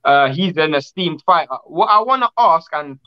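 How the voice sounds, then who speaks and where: A man's voice: fairly high pitch, lively intonation, moderate pace, highs cut off. A man co-hosting a boxing interview over a video call, chatty and prone to restarts. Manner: chatty, talks in restarts and long lists. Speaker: co-host.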